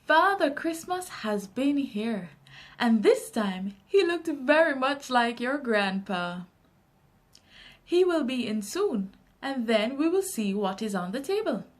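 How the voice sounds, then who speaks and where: A woman with a Jamaican accent voicing a kindly old grandmother in a children's story, reassuring and measured. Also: fairly high pitch, very animated, full character voice.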